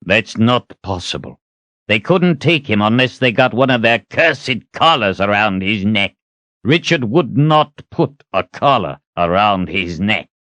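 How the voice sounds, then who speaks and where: A man's voice: medium pitch, big pitch swings, takes it slowly, dry recording, voice pushed up, full character voice. A man voicing an old wizard grandfather with an intense, brooding manner and an explosive temper, in a dramatic audiobook reading. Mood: stern disbelief.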